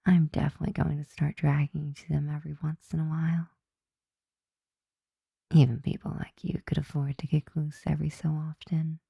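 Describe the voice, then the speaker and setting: A woman playing a flirty, softly dominant, affectionate vampire roommate in an intimate ASMR roleplay, breathy and often whispering, teasing and tender. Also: deep voice, little pitch movement, a little fast, no room sound, muffled recording, heavily acted. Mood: fond, gently teasing.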